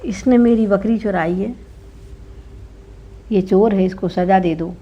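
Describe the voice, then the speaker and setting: A woman's voice: medium pitch, unhurried, natural voice. A woman voicing an aggrieved village man in a folk tale, insistent and protesting. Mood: insistent, complaining. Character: aggrieved village man who lost his goat. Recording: dull lo-fi recording.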